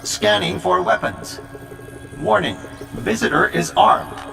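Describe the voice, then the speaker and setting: An actor voicing an officious security droid in a video game, with a gravelly voice. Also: male voice, high-pitched, slow, exaggerated voice. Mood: flat warning. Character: slow, officious security droid giving warnings. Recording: game music behind the voice.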